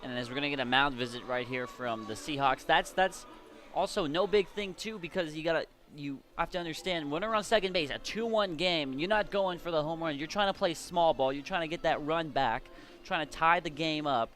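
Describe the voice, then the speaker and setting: A man's voice: on the high side, a little fast, a bit bright. An American man giving chatty, explanatory live analysis as a baseball color commentator. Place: at a baseball stadium.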